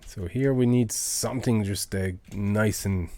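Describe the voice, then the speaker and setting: A low-voiced man chatting casually as he works through a live music-making tutorial, halting and thinking aloud. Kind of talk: casual tutorial chat while working. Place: indoors at a computer.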